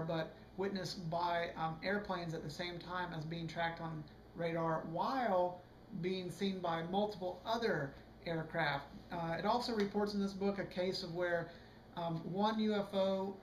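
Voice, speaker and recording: A hoarse man giving a casual, rambling video book review, full of fillers and restarts. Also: sits high, echoey room, a little hiss or hum, rough recording.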